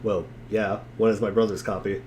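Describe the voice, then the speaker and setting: A man's voice: on the low side, level tone, a little fast, a little mellow, slight background noise. A man giving a wry, theatrical dramatic reading of narration and dialogue, dropping into casual asides. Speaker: reader in a group dramatic reading.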